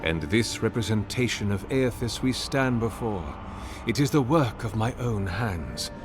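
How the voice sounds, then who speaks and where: An actor playing an ancient, solemn oracle in a fantasy game, speaking in a formal, measured and grandiloquent manner. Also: male voice, lowish voice, lively intonation. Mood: courtly, troubled. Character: ancient male oracle, courtly, solemn servant of a god. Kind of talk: fantasy video game dialogue. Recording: music behind the voice. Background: game music.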